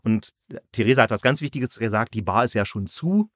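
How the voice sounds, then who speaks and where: A man's voice: fairly low pitch, lively intonation, brisk, fairly bright tone, no room sound, studio quality. A man hosting a casual review chat, searching for words and repeating himself as he goes. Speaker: podcast host and audio drama reviewer.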